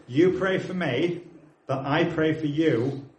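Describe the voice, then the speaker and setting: A man's voice: on the high side, slow pace, echoey room, low-quality recording. A man of forty with a British accent, preaching a sermon, earnestly urging his congregation and dropping in plain, friendly asides. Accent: British English. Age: 40s.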